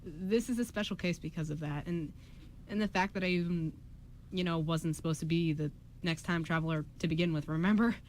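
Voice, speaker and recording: A woman playing an earnest, hesitant male time-traveling mentor in an amateur radio play, with a halting, friendly manner. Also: lowish voice, slight background noise, lightly acted. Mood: somber, explaining.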